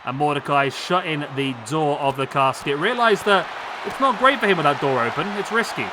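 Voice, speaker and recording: A man with a hoarse, worn voice giving excitable play-by-play commentary on a video game match. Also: on the high side, brisk. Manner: excitable, bursts of exclamation. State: hoarse, losing his voice. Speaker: gaming YouTuber. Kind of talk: play-by-play commentary over a simulated wrestling match. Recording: clear background noise under voice.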